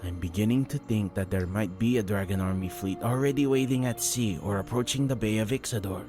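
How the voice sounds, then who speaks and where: Man acting a grave, low-voiced brooding warrior. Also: fairly quick, music in the background, close to an everyday voice.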